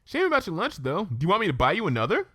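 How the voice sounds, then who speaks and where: A man voicing a bubbly young female cartoon pony in a story reading, with a perky, breezy, excitable manner. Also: sits high, very fast, breathy edge, no echo, studio quality, voice pushed up, heavily acted. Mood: chirpy.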